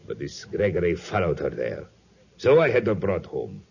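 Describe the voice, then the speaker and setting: Suspicious, domineering plantation boss; deep, heavily rasped, formal and self-justifying; muffled 1950s radio sound. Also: male voice, very animated, normal speed, a little mellow, exaggerated voice. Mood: grave. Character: domineering older plantation owner, suspicious, defensive father. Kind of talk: 1950s radio drama acting. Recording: dull vintage 1950s radio recording.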